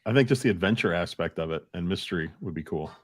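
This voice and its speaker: A man makes casual conversation as a podcast panelist. His voice is a little lower than average. The delivery is informal talk among a group. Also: expressive, fast.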